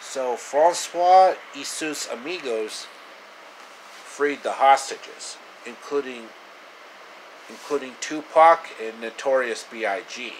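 A man in his thirties narrating a homemade story with halting delivery, recorded at arm's length in a small room. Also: medium pitch, a little hiss or hum, low-quality recording. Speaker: storyteller, video creator. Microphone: phone or webcam at arm's length.

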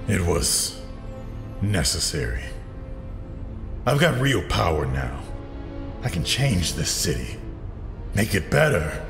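Gravelly, tormented scarred crime boss. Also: male voice, low voice, sing-song, heavily acted. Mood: bitter, pained. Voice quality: gravelly, strained. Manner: slow, menacing, bitter. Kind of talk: audio drama acting. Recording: echoing hall under music.

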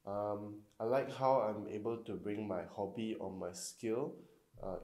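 Young Malaysian man, lowish voice. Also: dark tone. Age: 20s. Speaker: student. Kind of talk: documentary interview. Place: university room, indoors.

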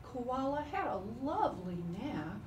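Casting: female storyteller, unhurried and expressive, highish voice, recorded in an echoey room. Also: crowd noise. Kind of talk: dramatic, expressive folk tale narration. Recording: echoey room, distant microphone. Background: live cello playing.

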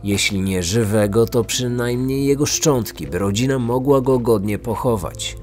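A man's voice: mid-range voice, moderate pace, a little mellow. A man narrating a scripted true-crime podcast as its host, in documentary style. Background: music.

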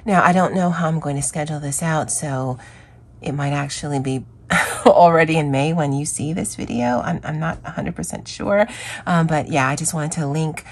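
A hoarse woman with a scratchy voice hosting a chatty, rambling coloring vlog. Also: deep voice, normal speed. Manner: chatty, rambling, with fillers and self-corrections. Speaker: craft channel host. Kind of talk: casual coloring vlog chat.

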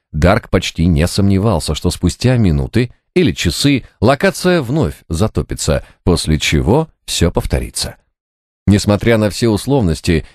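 A man narrating a fantasy novel as an audiobook, with expressive storytelling delivery, close to a studio microphone. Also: deep voice, no room sound.

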